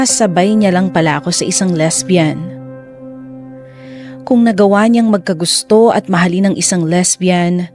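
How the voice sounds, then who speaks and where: Actress as wounded reflective narrator, mid-pitched, measured, sorrowful, first-person radio drama storytelling, faint music. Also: female voice, a little mellow, close to an everyday voice.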